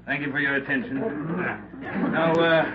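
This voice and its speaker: A muffled, faintly hissy old radio recording of a man acting as a veteran American police officer. He is middle-aged, blunt and earnest, addressing recruits like a speechmaker, in a fairly high male voice. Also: fairly even intonation, normal speed, natural voice. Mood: formal, wry. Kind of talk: 1940s radio drama, lecture to police cadets.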